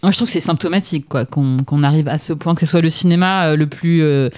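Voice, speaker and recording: A woman's voice: low voice, a little mellow. Woman, film critic, outspoken, casual podcast chat. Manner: frank, opinionated, quick to laugh.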